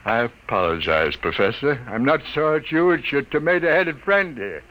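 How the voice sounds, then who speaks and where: A man's voice: medium pitch, sing-song, moderate pace, a bit bright. A man playing a sour, sarcastic, exasperated newspaper editor, grumbling through an old-time radio comedy drama. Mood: exasperated, bitter. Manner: sardonic, gruff in wording, grumbling. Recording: noisy old radio recording.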